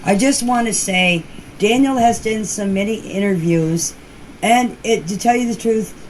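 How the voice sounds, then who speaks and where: A woman's voice: mid-range voice, unhurried, a little mellow. A woman giving a casual, rambling personal account in plain, folksy words, recorded as voice messages. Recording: noisy voice-message clips with background ambience.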